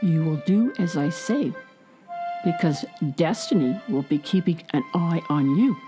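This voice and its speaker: A woman voicing an unsettling, expressionless male barn owl, speaking in a low, calm commanding tone. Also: a little slow, faint music behind.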